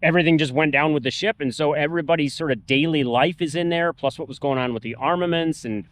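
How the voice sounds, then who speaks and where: A man's voice: a little high, fast talker, dry recording. A man on a travel vlog, outdoors at a park, casually explaining history to the camera at length. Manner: informative, talks through history at length. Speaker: travel vlogger. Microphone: camera mic.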